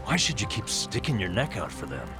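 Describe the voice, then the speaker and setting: An actor playing a bitter, world-weary, guilt-ridden man who is gruffly protective, in a blunt, cynical video game performance. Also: on the low side, big pitch swings, fairly quick, over music. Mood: grim pleading. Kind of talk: dramatic video game voice acting.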